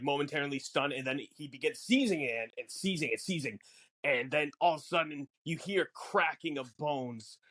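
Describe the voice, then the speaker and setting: A man co-hosting a casual film podcast, explaining things in a halting way with repeated words. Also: medium pitch, a bit bright, no room sound. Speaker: podcast co-host. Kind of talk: casual film discussion chat.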